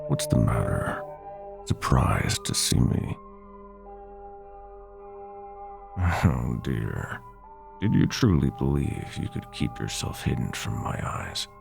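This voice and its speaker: Casting: predatory, seductive dark god for roleplay audio, extremely low and unhurried, teasing, music underneath. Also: male voice, sing-song, gravelly, heavily acted. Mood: menacing, teasing. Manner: slow, theatrical, teasing drawl. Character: sinister seductive nightmare god, predatory, gloating, possessive male. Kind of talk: roleplay audio drama, ASMR.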